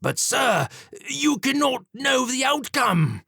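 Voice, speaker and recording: A man's voice: extremely high pitch, sing-song, slow, gravelly, bright, forceful tone, breathy edge, dry recording, clean studio sound, pitched above the performer's own voice, full character voice. A man voicing a proud demon surgeon in an audiobook, formal and pleading. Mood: desperate. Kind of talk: audiobook character acting.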